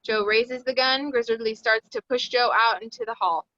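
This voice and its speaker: Bright, high-voiced woman reading stage directions. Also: fairly even intonation, normal speed, dry recording, lo-fi sound. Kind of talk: screenplay table read, scripted narration.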